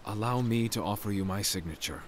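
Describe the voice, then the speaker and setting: A man's voice: on the low side, a little fast, slight background noise, natural voice. A man playing a proud, dutiful knight captain in a video game, speaking in a grave and formal manner. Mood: formal, restrained. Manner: formal, grave, deferential yet reproachful. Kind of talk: dramatic video game cutscene acting. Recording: music behind the voice.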